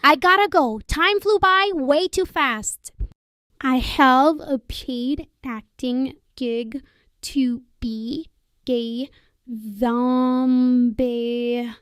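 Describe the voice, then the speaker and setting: A playful young female gamer in an audiobook drama, played by a woman. The voice is high and clear, casual and goofy, in a very slow delivery. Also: fairly bright tone, no echo, clean studio sound, heavily acted. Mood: playful, hurried goodbye.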